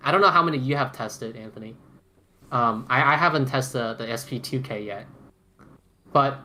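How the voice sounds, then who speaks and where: A man's voice: mid-range voice, normal speed, bright, forceful tone. A man co-hosting a casual roundtable podcast about gear, talking emphatically with repeated phrases and casual swearing. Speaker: podcast co-host.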